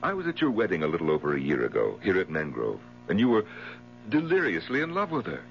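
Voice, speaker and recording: An actor playing a concerned, placating lawyer friend in 1970s radio drama. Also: male voice, low-pitched, wildly swooping pitch, quick pace, vocal fry, a little hiss or hum, full character voice. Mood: placating, puzzled. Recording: humming, muffled 1970s radio recording.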